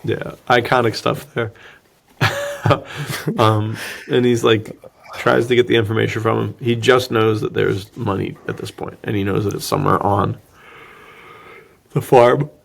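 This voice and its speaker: A man's voice: fairly low pitch, steady tone, unhurried, creaky, pauses often. A man chatting casually and jokingly as a podcast host, recapping a film in slangy, loose language. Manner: slangy, jokey, acts out dialogue mockingly. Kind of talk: casual movie recap chat.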